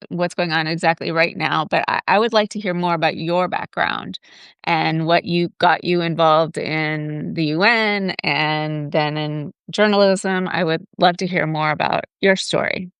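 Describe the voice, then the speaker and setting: A woman hosting an interview on a video call, asking questions in a halting way with fillers. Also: lowish voice, fairly bright tone, clear, resonant tone, dry recording. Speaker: interview host. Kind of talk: interview conversation.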